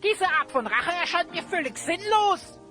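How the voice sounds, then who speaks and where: Tiny squeaky sidekick critter, very high sharp voice, earnest, radio play with music. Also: female voice, expressive, moderate pace, exaggerated voice. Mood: puzzled, reproachful. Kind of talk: German audio drama acting.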